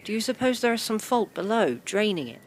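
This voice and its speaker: A woman's voice: mid-range voice, sing-song, fairly quick. A woman voicing a shy, eager, well-mannered young boy in a period audio drama, hesitant and earnest with formal diction. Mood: curious, earnest.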